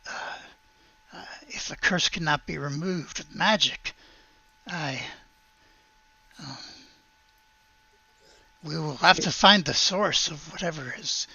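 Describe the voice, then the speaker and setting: Man as tabletop-game priest, grave, concerned, hesitant, gravelly voice, slightly dull close recording. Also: on the high side, slow pace, long pauses, sharp tone, no echo, exaggerated voice. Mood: grave, worried. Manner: hesitant, stammering, solemn.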